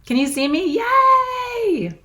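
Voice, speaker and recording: A middle-aged woman with a froggy, hoarse voice, hosting a live online ritual from her small house in casual, bubbly chat, laughing often. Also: extremely high pitch, sing-song, takes it slowly, bright, forceful tone. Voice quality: froggy, husky. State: hoarse, nearly lost voice. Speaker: spiritual teacher hosting a live full moon ritual.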